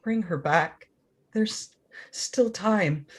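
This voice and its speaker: An actor playing an outcast monster, pleading and vengeful, in a dramatic staged reading, halting and intense with a husky voice. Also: mid-range voice. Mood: desperate, outraged. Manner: intense, halting, swinging from whispers to cries.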